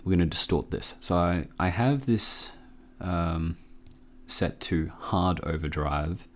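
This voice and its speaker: Low-voiced man giving a casual tutorial. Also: slow. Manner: informal, hesitant, thinking aloud. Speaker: tutorial host.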